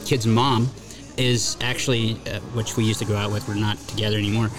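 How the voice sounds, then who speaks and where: A man's voice: lowish voice, level tone, a little fast, over music. A man speaking on a talk radio show, earnest and explanatory, with frequent hesitant fillers. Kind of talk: casual talk radio conversation.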